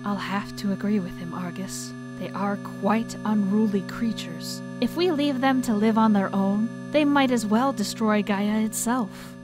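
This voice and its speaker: An actress voicing a gentle goddess who mediates, measured, earnest and conciliatory, in an audio drama. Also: female voice, mid-range voice, over music, close to an everyday voice, rough recording. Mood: thoughtful.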